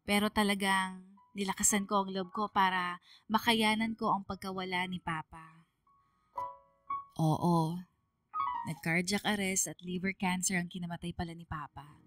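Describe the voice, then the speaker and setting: A woman's voice: mid-range voice, far from the mic. A woman with a Filipino accent narrating a radio drama story in the first person, sorrowful and emotive. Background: soft music.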